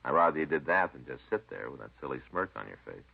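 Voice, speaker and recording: A man's voice: very deep voice, very animated, fast talker, gravelly, slightly rough, exaggerated voice. An actor playing a touchy, exasperated policeman, peevish and blustering, in an old-time radio drama. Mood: irritated. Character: touchy, exasperated male policeman, the detective's partner. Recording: dull old radio recording.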